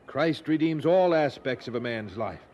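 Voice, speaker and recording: A man's voice: medium pitch, lively intonation, normal speed, darkish tone, muffled recording, slight background noise, natural voice. Casting: male actor for earnest period preacher in audio drama; mid-range voice, declamatory, formal, resolute. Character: earnest, resolute 18th-century English preacher.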